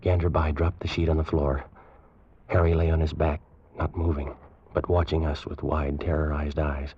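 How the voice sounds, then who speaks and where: A man narrating a tense story in the first person in 1940s radio drama style, slow and suspenseful. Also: very deep voice, little pitch movement, slightly rough. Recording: dull old radio recording with music.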